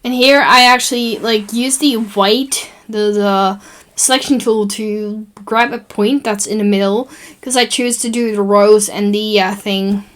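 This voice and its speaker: Young Dutch woman with a Dutch accent, relaxed and rambling as she narrates her own screen-recording tutorial. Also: medium pitch, a little slow. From Netherlands. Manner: chatty and rambling, with many fillers and self-corrections. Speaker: tutorial host.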